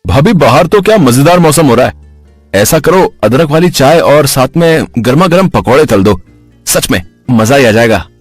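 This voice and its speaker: Guy playing a young family man, husky, lively pitch swings, coaxing, storybook. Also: normal speed, no echo, soft background music. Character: easygoing young men of a household, husband and brother. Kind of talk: scripted story dialogue.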